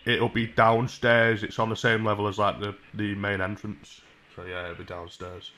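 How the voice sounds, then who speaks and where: A man in his twenties with a Northern English accent, talking casually and mock-earnestly as a deadpan, hesitant prank caller at a desk microphone. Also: lowish voice, moderate pace, a little hiss or hum. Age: 20s. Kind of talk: casual prank phone call. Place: small room at home. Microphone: desk microphone on a stand.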